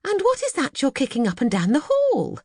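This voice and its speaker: Woman acting a high, fretful housewife. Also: huge pitch swings, a little fast, warm tone, no room sound, studio quality. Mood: anxious. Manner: nervous, fluttery. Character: anxious, fretful wife and mother, easily upset.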